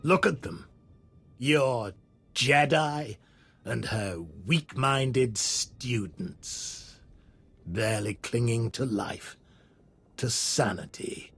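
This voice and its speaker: An actor playing a cold, scornful dark lord in a video game, with a gravelly voice and sneering manner. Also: male voice, medium pitch, heavily acted. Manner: sneering, deliberate. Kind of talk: video game villain acting.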